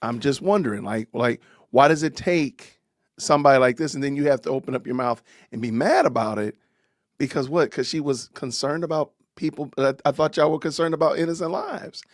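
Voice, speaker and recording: A man's voice: mid-range voice, brisk, no room sound, clean studio sound. A man hosting a casual live-stream podcast, ranting with rising emphasis. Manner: animated, preachy rants with rising emphasis. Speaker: podcast host.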